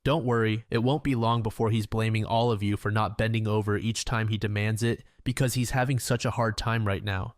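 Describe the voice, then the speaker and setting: A man with a fairly low voice reads online stories aloud in a plain, matter-of-fact way. He sits close to the mic in a dry, dead room. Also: fairly even intonation, fairly quick, few pauses, no room sound. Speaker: reddit story narrator.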